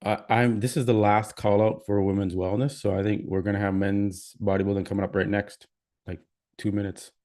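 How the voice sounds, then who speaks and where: A man's voice: on the low side, little pitch movement, a little fast, darkish tone. A man with a Canadian accent hosting a live stream, chatting casually and reading out where viewers are from. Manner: chatty, reads out viewer comments. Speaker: livestream host.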